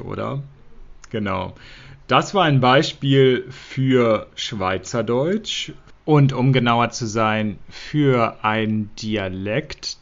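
A man from Germany, hosting a podcast and explaining slowly and clearly for learners. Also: medium pitch, lo-fi sound. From northern Germany. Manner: slow, clear, deliberate speech for learners. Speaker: podcast host.

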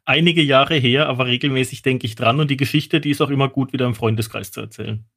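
A man's voice: mid-range voice, steady tone, fast, creaky, a bit bright. A middle-aged man talking casually as an interview guest, explaining things and telling anecdotes like an expert. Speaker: guest, toxicologist.

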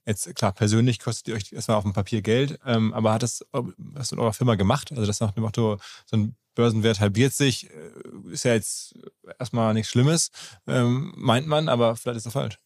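Male podcast interviewer, casual and musing, mid-pitched with gravelly fry. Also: fairly quick, a little mellow, dry recording, clean studio sound. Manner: informal, thinking aloud. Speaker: podcast host.

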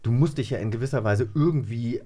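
A man's voice: medium pitch, fast talker, mellow, slightly rough. A man chatting casually as an interviewer on a podcast.

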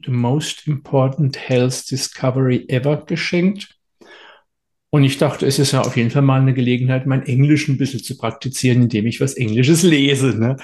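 A middle-aged man, a therapist, telling a story in a relaxed interview, with many filler sounds and pauses. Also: medium pitch. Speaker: therapist and guest.

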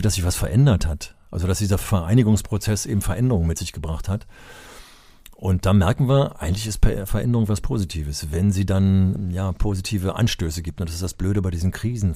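A man's voice: low-pitched, warm tone, no echo, studio quality. An older man from Berlin co-hosting a podcast, talking emphatically and getting heated at times. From Germany (Berlin). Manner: impassioned, emphatic when angered, rhetorical. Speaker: podcast co-host, education advocate. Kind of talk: conversational podcast discussion.

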